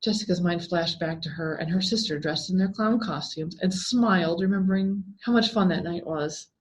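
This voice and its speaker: Expressive woman reading a suspenseful story. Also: on the low side, moderate pace, low-quality recording. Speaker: story reader. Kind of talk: dramatic scripted story narration.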